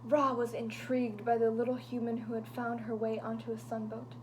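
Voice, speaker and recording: A woman reading a picture book aloud to children, animated and dropping into whispers for suspense, with chatty asides to her young listeners. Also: on the high side, fairly quick, a little hiss or hum, poor audio.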